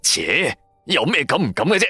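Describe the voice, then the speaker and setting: A man performs an excitable Cantonese radio-drama sidekick, young, broke and greedy for cash. His slangy, wheedling lines jump up and down in pitch, recorded dry and close over music. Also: normal speed, husky, no room sound, full character voice. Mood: eager. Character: Tofu, broke, timid but money-hungry young male sidekick.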